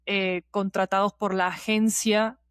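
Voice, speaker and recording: A woman's voice: mid-range voice, level tone, unhurried, clean tone, no echo, clean studio sound. A woman giving talkative, digressive interview answers as a podcast guest, with emphatic repetition. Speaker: podcast guest, scriptwriter and producer.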